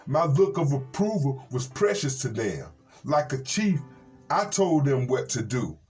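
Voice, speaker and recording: American reverend reading scripture over guitar music. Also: male voice, on the high side, darkish tone. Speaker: reverend hosting a Bible reading program. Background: plucked guitar music.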